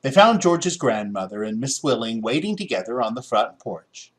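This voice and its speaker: A man's voice: mid-range voice, very animated, moderate pace. A middle-aged American man narrating a children's story expressively. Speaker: storybook reader.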